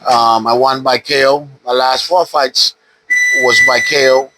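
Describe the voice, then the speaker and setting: A man in his fifties from the Bahamas, a retired boxer calmly telling his story in an interview at a boxing gym. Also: mid-range voice, unhurried, heavy background noise. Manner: relaxed, steady storytelling with frequent "uh". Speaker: retired pro boxer, guest. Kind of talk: casual interview.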